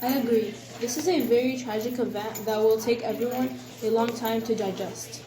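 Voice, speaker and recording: A woman's voice: fairly high pitch, moderate pace, a crowd in the background. A woman reading a scripted news report aloud as one of two presenters.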